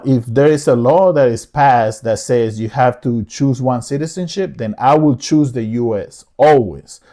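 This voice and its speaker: A man's voice: medium pitch, dark tone. A middle-aged man from the Dominican Republic giving his opinion in a casual vlog monologue, earnest and repetitive, often restarting his sentences. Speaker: vlogger.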